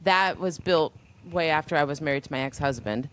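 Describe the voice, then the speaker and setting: A woman in her forties hosts a relaxed podcast chat, her voice sitting a little low for a woman. She is from Florida and tells stories in a chatty, animated way, laughing often as she goes. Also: brisk. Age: 40s. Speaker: podcast host. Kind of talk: casual interview chat.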